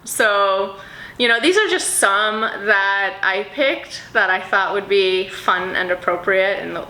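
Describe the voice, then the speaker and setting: A woman's voice: medium pitch, takes it slowly, pushed and bright. Bubbly woman gushing in an echoey room. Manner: bubbly, rambling, dreamy descriptions with many fillers. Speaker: perfume vlogger. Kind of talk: casual vlog chat. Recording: echoey room with faint ambient noise.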